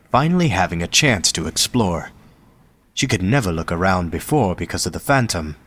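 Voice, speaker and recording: A man narrates a scripted story for an audio drama. His voice sits fairly low and moves widely in pitch as he reads. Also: fairly bright tone.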